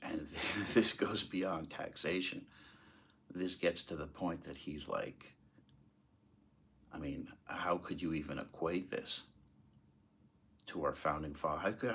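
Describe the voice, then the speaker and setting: Deep, husky American man. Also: fairly bright tone. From United States, moved there as a small child. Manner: halting, emphatic, rhetorical questions. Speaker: political commentator. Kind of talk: impassioned off-the-cuff commentary.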